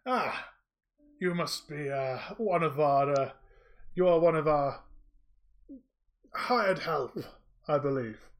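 A man's voice: a little high, slow pace, pauses often. A man voicing a tall, older male town leader who is gracious and polite, speaking hesitantly and apologetically. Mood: courteous.

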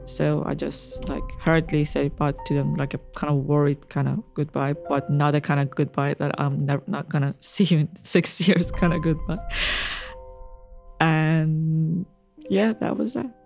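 A young woman from Iran telling her own story in a relaxed, chatty way, with fillers and small laughs. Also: low voice, unhurried, creaky, faint music behind. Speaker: interviewee. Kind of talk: personal storytelling interview.

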